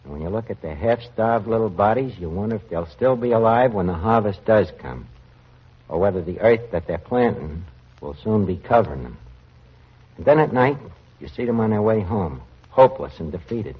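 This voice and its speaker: An actor playing an earnest working-class tavern manager with streetwise, ungrammatical talk in a 1940s radio show. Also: male voice, lowish voice, animated, normal speed, slightly rough. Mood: somber reading. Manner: colloquial, streetwise, uneducated grammar. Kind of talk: 1940s radio comedy-drama acting. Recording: muffled vintage radio recording.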